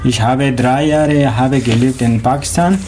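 A man from Afghanistan with an Afghan accent, speaking halting learner German with many fillers. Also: medium pitch, fairly even intonation, a little mellow, slight background noise.

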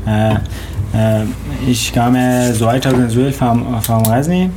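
A man from Afghanistan with an Afghan accent, speaking halting learner German with many fillers. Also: mid-range voice, steady tone, darkish tone, slight background noise.

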